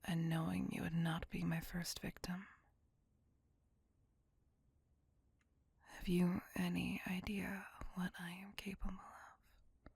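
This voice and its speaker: A woman playing a centuries-old, possessive and tormented female vampire in an intimate ASMR roleplay, speaking in a breathy whisper with formal, archaic, tenderly menacing delivery. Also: on the low side, little pitch movement, moderate pace, darkish tone, no room sound, full character voice.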